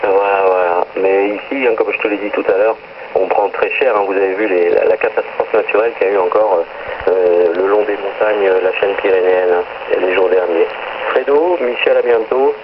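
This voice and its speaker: A man talks over a two-way radio, with clear noise and a muffled, lo-fi sound. He is a chatty middle-aged operator, running through friendly greetings and radio jargon in a casual way. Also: sits low, moderate pace. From northern Paris area, France. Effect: two-way radio transmission. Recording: noisy, muffled shortwave radio transmission.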